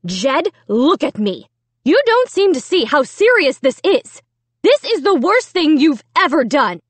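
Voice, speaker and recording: A woman's voice: a little high, animated, measured pace, dry recording. Woman acting a stern, exasperated storybook mother. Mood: angry, demanding. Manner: firm, sharp, commanding. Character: stern, exasperated mother scolding her children.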